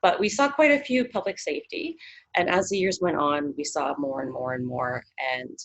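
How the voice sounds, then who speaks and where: A woman in her thirties from Canada speaks as an interview guest. Her voice sits low, and she talks in a thoughtful way, circling back with many fillers and restarts. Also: moderate pace, fairly bright tone, rough recording. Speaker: psychologist.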